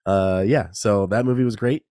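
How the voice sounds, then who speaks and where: A man's voice: fairly low pitch, moderate pace, a little mellow, no echo, clean studio sound. A young man hosting a casual solo podcast, congested and nasal from a cold, rambling and laughing at himself. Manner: rambling, self-mocking, laughs often. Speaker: podcast host.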